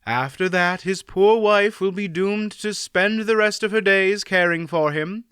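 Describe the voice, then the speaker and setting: A man voicing a shrewd older woman who speaks matter-of-factly, a bit raised and bright. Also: high-pitched, measured pace, clear, resonant tone, dry recording, clean studio sound, pitched above the performer's own voice. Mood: sly. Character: shrewd, dry-witted older aunt, woman.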